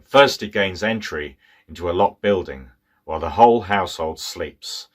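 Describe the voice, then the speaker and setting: A man's voice: low voice, moderate pace. A man giving conversational storytelling commentary as a narrator.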